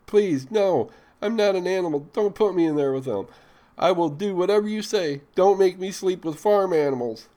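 Defiant young woman captive, shrill and strained, high and loud, voiced by a man. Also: moderate pace, natural voice. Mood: pleading.

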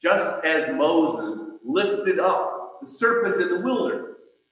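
Man preaching slowly in a very echoey hall. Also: sits high, very animated, fairly bright tone. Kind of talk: sermon with scripture and quoted readings. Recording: muffled, very echoey hall with long reverb.

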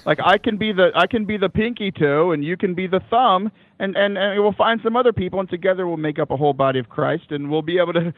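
A young man with a loud voice, talking casually as an interview guest, animated, with many fillers and restarts, laughing often. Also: sits high, fast, hardly any pauses, no echo. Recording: dry, phone-like call line.

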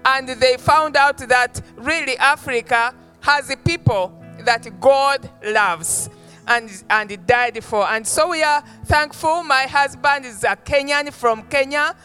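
An elderly woman, speaking in church as a missionary guest. She talks slowly and earnestly, stopping often to praise. Her voice is high and bright, with soft music behind it. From Uganda. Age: 70s. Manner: slow, warm, earnest testimony with praise exclamations. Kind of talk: sermon greeting. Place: church stage.

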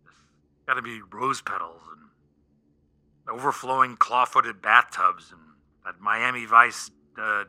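A man's voice: mid-range voice, pushed and bright. A man acting an exasperated, sarcastic, prying Hollywood agent in a comedic radio play, talking in incredulous, rambling rants.

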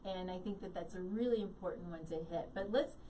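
A woman's voice: on the low side, a little fast, dark tone. A bubbly woman hosting a live show, laughing often and rambling through her introductions. She sounds a bit distant from the mic, with faint noise underneath. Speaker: host.

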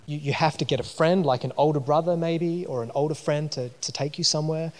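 A man's voice: fairly high pitch, fairly quick. A man from New Zealand with a New Zealand accent, preaching a sermon in a conversational way, with many fillers and restarts. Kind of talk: sermon with personal story. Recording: long hall reverb.

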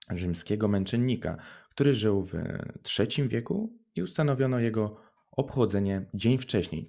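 A man's voice: on the low side, creaky, warm tone. A young man co-hosting a radio show, moving between prepared narration and casual chat. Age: young adult.

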